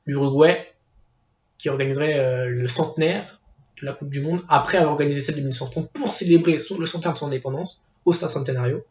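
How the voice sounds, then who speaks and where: A man's voice: a little high, fast, darkish tone. A man giving his casual opinions, hesitant, with many fillers and restarts. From Portuguese family background. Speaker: football video commentator. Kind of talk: casual spoken opinion piece. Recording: echoey room, dull lo-fi sound.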